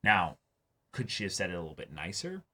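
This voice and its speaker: Low-pitched young man talking things through. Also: big pitch swings, fairly quick, crowd noise. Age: young adult. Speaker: host of an anime reaction show. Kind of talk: casual discussion. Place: small indoor room, seated before a backdrop.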